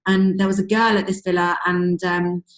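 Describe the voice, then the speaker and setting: Husky woman on a video call. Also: on the low side, level tone, moderate pace. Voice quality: husky, losing her voice. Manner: chatty, many fillers like "um" and "you know". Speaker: team leader coaching sales recruits. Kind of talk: casual motivational coaching talk. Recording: echoey room over a video call.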